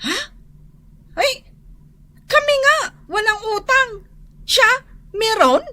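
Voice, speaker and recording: Casting: anxious, bossy big sister in radio drama, very high-pitched, sharp and forward voice. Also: female voice, very animated, takes it slowly, heavily acted. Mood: flustered. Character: anxious, scolding older sister of a debtor. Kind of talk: radio drama acting in Ilocano and Tagalog.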